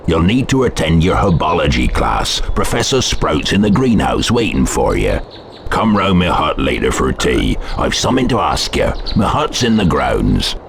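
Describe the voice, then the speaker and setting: An actor playing a big, hearty, friendly gamekeeper at a school of magic in a video game, with a homely, folksy way of talking. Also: male voice, a bass voice, big pitch swings, moderate pace, vocal fry, heavily acted. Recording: noisy game audio with background ambience.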